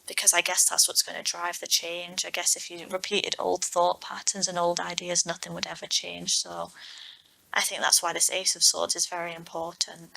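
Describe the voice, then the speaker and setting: A woman reads tarot for online viewers, explaining each card in a reflective, unscripted way. Her pitch stays fairly even and sits in the middle range for a woman. The tone is bright, with a noticeable crackle of fry. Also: normal speed. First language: English. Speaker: tarot reader, online host.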